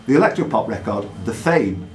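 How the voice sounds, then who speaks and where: A man hosting a live quiz in a pub, reading questions out deliberately and adding jokey asides. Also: on the low side, lively intonation. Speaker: quizmaster. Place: indoor pub. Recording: echoey pub room with background noise.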